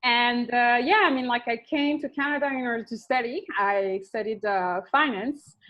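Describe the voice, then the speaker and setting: A young woman from France, a hesitant, soft-spoken guest. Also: on the high side, normal speed. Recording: dull lo-fi sound.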